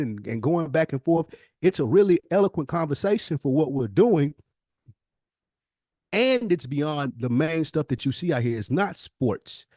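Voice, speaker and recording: A man co-hosting a podcast, making a casual, conversational pitch in an emphatic, insistent way, often stacking repeated phrases. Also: a little mellow, no room sound, clean studio sound. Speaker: podcast co-host.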